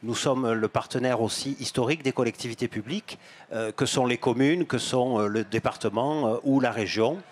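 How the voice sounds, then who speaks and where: A man in his fifties, a bank executive, giving measured explanatory answers in an interview at a fair stand, with some hesitations and repeated words. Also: mid-range voice. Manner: gestures broadly while talking. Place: outdoor stand at an agricultural fair, on a sofa. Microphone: handheld microphone.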